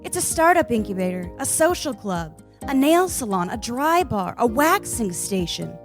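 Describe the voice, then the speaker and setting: A woman's voice: a little high, big pitch swings, normal speed, music in the background. A woman playing a slick, smug startup chief with polished sales patter in a comic radio play. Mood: salesy. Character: slick, smug female startup CEO pitching her club.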